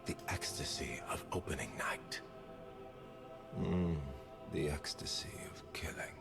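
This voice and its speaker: A gravelly theatrical killer-artist. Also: male voice, heavily acted. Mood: grandiose. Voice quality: deep gravelly voice. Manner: slow, grandiose, often whispered. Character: theatrical adult male assassin who treats killing as art. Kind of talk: game voice lines. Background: music.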